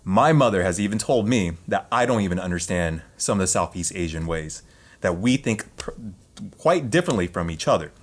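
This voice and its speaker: Low-voiced male podcast co-host, first language Vietnamese, lecturing and insistent. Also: animated, a little fast. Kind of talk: casual reaction chat.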